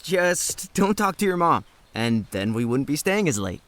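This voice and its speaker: Man acting an earnest young man, bright-voiced. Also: a little high, big pitch swings, unhurried. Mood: sincere, easygoing. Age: young adult. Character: earnest, devoted young male biology student. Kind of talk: audio drama dialogue.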